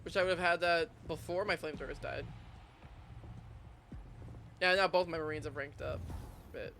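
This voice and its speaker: A man with a slightly high voice streams a video game, commenting casually as he plays. He mutters to himself and reads prompts aloud, with clear battle and vehicle noise behind him. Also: fairly even intonation, normal speed, sharp tone. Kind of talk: casual live gameplay commentary. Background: video game gunfire and vehicles.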